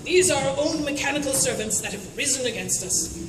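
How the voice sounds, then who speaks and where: A haughty, belligerent underground queen, played by a woman on stage, speaks high and imperiously. She insults everyone with great pomp in comic radio theatre. The recording is distant and echoey, with clear background noise. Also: lively intonation, a little fast. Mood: haughty explaining. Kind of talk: live comedic radio theatre acting. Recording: echoey noisy hall, far from mic.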